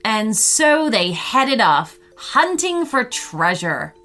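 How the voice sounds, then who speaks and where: A woman narrating a bedtime story in an expressive way for young children. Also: a little high, slow. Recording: music behind the voice. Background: music.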